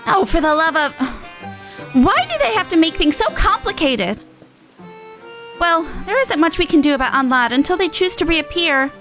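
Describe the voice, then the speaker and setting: A woman voicing an excitable, cheerful little pixie from a game, in a bubbly, theatrical manner, easily exasperated. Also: very high-pitched, moderate pace, pauses often, soft background music, pitched above the performer's own voice. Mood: puzzled, upbeat. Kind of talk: reading game dialogue in character.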